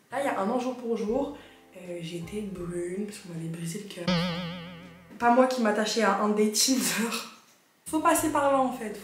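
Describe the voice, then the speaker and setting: A young woman vlogging as an au pair, chatting in a lively, slangy way. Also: medium pitch. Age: young adult. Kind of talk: casual chat. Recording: very echoey room with music.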